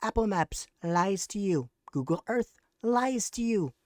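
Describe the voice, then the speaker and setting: A grandiose, self-important conspiracy lecturer, as a comedian puts on a voice. Every word is drawn out slowly and pompously, and the accent keeps drifting toward British. The recording is dry and close, with no room sound. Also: mid-range voice, lively intonation, darkish tone, dry recording, pitched above the performer's own voice, natural voice. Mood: lecturing. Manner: pompous, mock-serious lecturing. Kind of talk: mock conspiracy presentation over slides.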